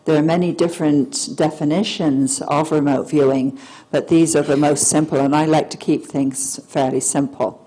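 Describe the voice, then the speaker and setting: A soft-spoken woman giving a public lecture at a symposium, hesitating often with fillers and restarts. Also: deep voice, steady tone, moderate pace, husky, low-quality recording. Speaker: guest speaker. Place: lecture hall.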